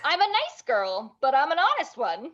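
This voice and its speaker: A woman playing a brash, bubbly, blunt burlesque veteran in an animated table read, gushing and bossy with heavy emphasis. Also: sits high, normal speed, dull audio, exaggerated voice.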